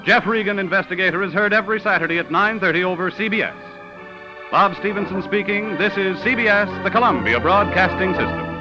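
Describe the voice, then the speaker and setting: High-pitched vintage radio announcer man. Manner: polished, measured, declamatory delivery. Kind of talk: formal 1940s network radio announcing. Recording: muffled vintage radio recording with music.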